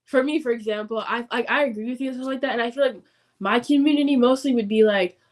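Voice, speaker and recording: A woman's voice: on the high side, fast talker. A teenage girl hosting a casual podcast interview, hesitant and full of ums and likes. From Nigerian family background. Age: teens. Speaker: podcast host.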